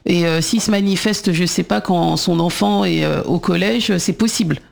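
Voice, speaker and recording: A woman's voice: fairly low pitch, moderate pace, vocal fry, a little mellow. A woman hosting a radio discussion, asking questions in a hesitant, word-searching conversational way. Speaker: radio host.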